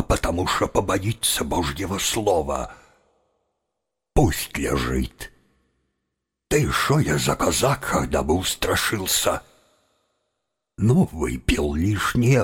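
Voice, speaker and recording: An actor playing a frightened Cossack seminary student bluffing courage to himself, with a husky, halting mutter in an audio drama. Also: male voice, extremely low pitch, sing-song, a bit bright, full character voice. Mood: nervous bravado. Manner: halting inner monologue, half-whispered.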